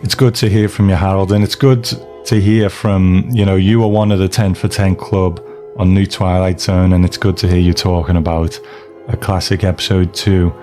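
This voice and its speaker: A man's voice: deep voice, little pitch movement, normal speed, warm tone, faint music behind. A man hosting a podcast, talking casually and chattily to his listeners in an informal monologue. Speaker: podcast host.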